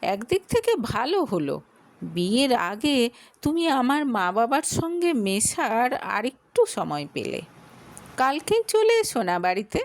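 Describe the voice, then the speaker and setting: Slow, expressive woman storyteller. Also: a little high, no echo. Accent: Bengali. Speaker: narrator. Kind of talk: scripted audio story narration.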